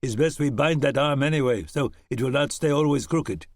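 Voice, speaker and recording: A man voicing a young German immigrant and former soldier who speaks in halting, oddly ordered English. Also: sits high, fairly bright tone, no echo, voice pushed up. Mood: earnest. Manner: German accent, broken word order.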